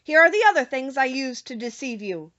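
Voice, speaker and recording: A woman's voice: high-pitched, animated, fairly quick, bright, forceful tone, lo-fi sound. A woman voicing a little old humbug wizard, sorrowful and confessing, in earnest audiobook storytelling. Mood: boastful. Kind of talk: audiobook character voice.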